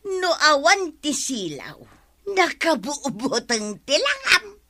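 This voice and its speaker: Nagging village wife, female: Ilocano accent, dramatic and whiny, high swooping delivery for radio comedy. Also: wildly swooping pitch, takes it slowly, sharp tone, heavily acted. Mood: indignant.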